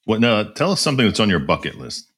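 A man hosting a podcast, asking questions and running a quick quiz game in a loose, repetitive way. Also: on the low side, very animated, fairly bright tone, slightly rough. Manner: loose, repeats himself, many fillers. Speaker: podcast host.

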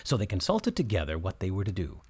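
A man narrating a scripted storybook tale as storyteller, in an expressive, measured way. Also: low-pitched, husky.

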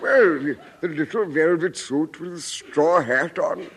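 An actor playing a courteous, long-suffering English vicar in a 1950s radio sitcom, hesitant and faintly desperate. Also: male voice, fairly high pitch, expressive, measured pace. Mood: strained courtesy. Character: polite, long-suffering vicar trapped by a bore. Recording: noisy old radio comedy recording.

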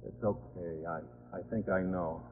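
A man's voice: deep voice, steady tone, normal speed, phone-quality sound, a little hiss or hum. A man playing a roguish football coach and father with a casual, slangy manner in a 1950s radio drama. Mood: resigned. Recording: very thin vintage 1950s radio recording.

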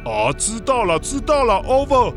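High-pitched excitable Taiwanese uncle. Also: male voice, normal speed, full character voice. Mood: eager. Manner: exclamatory, folksy, stammering in indignation. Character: excitable old Taiwanese uncle, garbage-truck storyteller turned space hero. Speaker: children's story show host. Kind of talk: children's radio play acting. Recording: music loud behind the voice.

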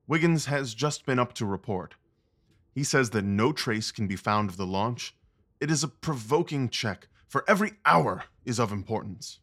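A man playing a brooding, guarded Victorian gentleman detective in an audio drama, speaking in a clipped, formal, world-weary way. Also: mid-range voice.

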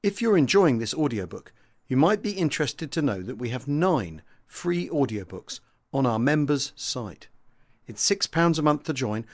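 A British man reads an audiobook memoir in the first person. His voice sits at a medium pitch, and he delivers the account as dramatic narration.

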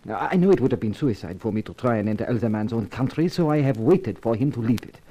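An actor playing an anxious, courteous Frenchman, speaking gravely and hesitantly in a 1950s radio drama. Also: mid-range voice, lively intonation, fast talker, warm tone, natural voice. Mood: grave, pleading. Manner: grave, hesitant, polite, earnest. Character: anxious, courteous Frenchman seeking his lost sister.

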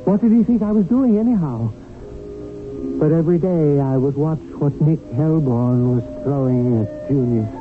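An actor playing an earnest, anxious, proper guardian angel in a radio drama, loyal and fretful. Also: male voice, a little high, normal speed, natural voice. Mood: fretful narrating. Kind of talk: radio drama acting and first-person narration. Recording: tinny radio broadcast with music.

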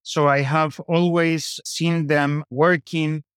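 A man's voice: a little high, flat delivery, slow pace, a bit bright, clear, resonant tone, no echo, studio quality. A man from Colombia with a Latin American Spanish accent, chatting as an interview guest on a podcast. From Colombia, grew up in Costa Rica. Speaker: podcast guest, company founder. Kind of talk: casual interview conversation.

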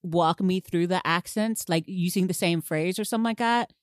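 Brief: lively woman, lower voice, casual movie podcast talk, dry close mic. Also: normal speed, no echo. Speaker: podcast co-host. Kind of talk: casual film discussion.

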